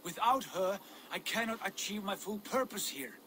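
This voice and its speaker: Casting: secretive Norse jarl in a game, tense bright edge, commanding, faint background noise. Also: male voice, sits high, expressive, normal speed. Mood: resolute. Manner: commanding, insistent.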